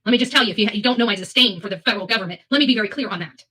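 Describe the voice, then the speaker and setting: A woman's voice: medium pitch, racing, pushed and bright, slightly rough. A woman hosting live opinion commentary, speaking bluntly and emphatically, repeating phrases for weight and swearing freely. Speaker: host of a live commentary show.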